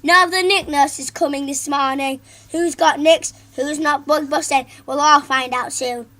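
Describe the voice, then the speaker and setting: A woman's voice: sits high, takes it slowly. A woman voicing a stern female schoolteacher who barks orders at her class in a storybook reading. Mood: shouting. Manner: barking orders.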